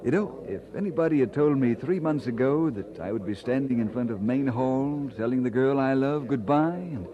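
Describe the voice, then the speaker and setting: An actor playing an earnest, romantic preacher in an old-time radio drama, with a courtly, sentimental way of speaking. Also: male voice, mid-range voice, normal speed, few pauses, a crowd in the background, close to an everyday voice. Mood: happy. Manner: courtly, sentimental, formal phrasing. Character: earnest, romantic Scottish-born preacher in love, adult man. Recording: dull vintage radio drama recording.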